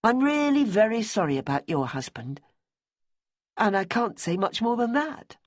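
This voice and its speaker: A woman's voice: mid-range voice, sing-song, normal speed, no room sound, studio quality, voice pushed up. A woman doing a reluctant, firm florist. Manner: firm and defensive. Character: plain-spoken woman florist, reluctant and exasperated.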